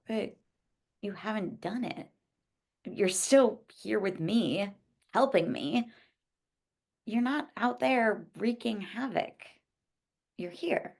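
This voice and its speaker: A woman playing an earnest, pleading young woman with a husky, creaky voice in a dramatic table read. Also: mid-range voice, expressive, slow, pauses often, a little mellow, exaggerated voice.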